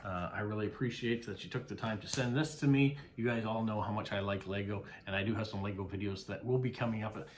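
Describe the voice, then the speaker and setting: A man's voice: lowish voice, fairly even intonation, quick pace, hardly any pauses, slight background noise. A middle-aged Canadian man, a mail carrier, chatting casually as he unboxes postcards, thanking each sender with many "uh" fillers.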